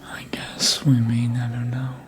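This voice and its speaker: A performer voicing a sly, seductive, manipulative vampire in a breathy ASMR whisper, coaxing slowly and deliberately as if hypnotizing. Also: medium pitch, monotone, lightly acted. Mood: hypnotic coaxing.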